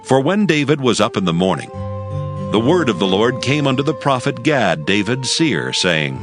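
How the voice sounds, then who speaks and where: A man narrating scripture as audio drama, with solemn, deliberate phrasing. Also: medium pitch, big pitch swings. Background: music.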